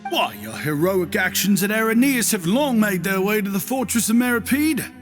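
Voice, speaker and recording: An actor playing a chatty, easygoing shopkeeper in a video game, effusively polite and ingratiating. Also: male voice, high-pitched, very animated, normal speed. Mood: flattering. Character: chatty, flattering, easygoing male stand-in shopkeeper. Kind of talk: video game dialogue acting. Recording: game music behind the voice.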